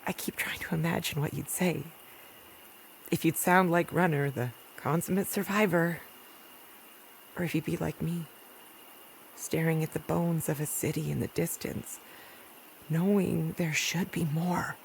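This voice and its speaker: A woman's voice: low-pitched, steady tone, unhurried, creaky, pauses often, slight background noise, poor audio. A woman playing a weary, principled rural doctor in an audio drama, blunt and sardonic. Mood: grieving, reflective. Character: weary, principled rural doctor and mother in post-apocalyptic wilds. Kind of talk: naturalistic audio drama acting.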